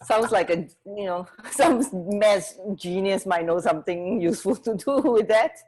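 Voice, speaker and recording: A woman's voice: mid-range voice, measured pace, darkish tone, a little hiss or hum. A woman co-hosting a radio show in casual, hesitant, giggly chat. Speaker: radio co-host.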